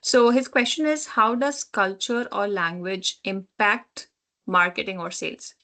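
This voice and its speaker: Woman moderator of medium pitch, relays audience questions and adds quick remarks. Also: bright, forceful tone. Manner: relays questions, then adds brief comments. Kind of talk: webinar Q&A moderation.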